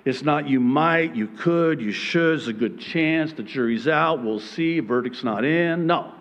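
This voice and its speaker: Middle-aged pastor w/ arabic accent preaching slow at a pulpit mic, jokey, gets loud and emphatic, kinda dull lo-fi sound. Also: male voice, fairly high pitch. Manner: humorous, rising to emphatic near-shouting peaks. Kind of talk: sermon. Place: church stage behind a pulpit. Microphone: pulpit microphone.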